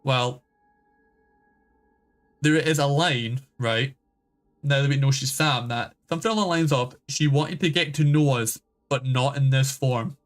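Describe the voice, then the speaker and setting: A man's voice: mid-range voice, a little slow. A man chatting casually as a game streamer, rambling and swearing freely as he goes. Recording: music playing behind the voice. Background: background game music.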